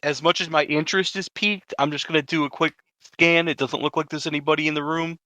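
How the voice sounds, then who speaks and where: A man's voice: on the high side, fairly even intonation, fast, sharp tone, no room sound. A man taking part in a tabletop game as a player, chatting casually about his moves.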